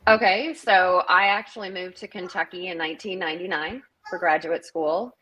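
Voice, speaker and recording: A woman's voice: on the low side, steady tone, moderate pace, bright, forceful tone, slight background noise, poor audio. A middle-aged woman from northern Minnesota, a festival director chatting as a guest over a video call, rambling with many fillers. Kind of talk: casual interview chat.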